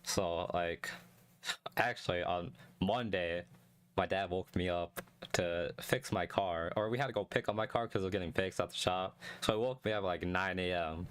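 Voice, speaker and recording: A young man streaming a casual solo chat, calm and low-energy, talking close to the mic and rambling with many restarts. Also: moderate pace, clear, resonant tone. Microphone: close desk mic.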